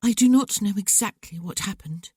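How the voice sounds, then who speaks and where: A woman reading as an earnest, talkative young woman with a voice lifted well above the reader's own, rising and falling eagerly. The tone stays mellow and the recording is close and dry, with no room sound. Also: mid-range voice, moderate pace, slightly rough, no room sound, pitched above the performer's own voice, lightly acted. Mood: earnest, wistful. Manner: lively, talkative, candid. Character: outspoken young woman, sensitive, earnest sister.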